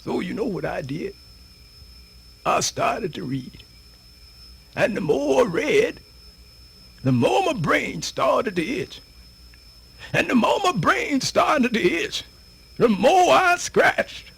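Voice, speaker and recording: Radio theatre: animated old Southern US storyteller, creaky high voice, folksy, wandering delivery with big pitch swings. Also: male voice, slow pace, pauses often, heavily acted. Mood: bemused, gossipy. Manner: rambling, folksy, theatrical hesitations and repetitions. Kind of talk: radio theatre folktale monologue.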